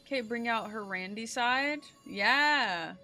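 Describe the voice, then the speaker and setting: A woman streamer giving casual, slangy, excitable commentary on a game playthrough, swearing freely. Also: a little high, takes it slowly.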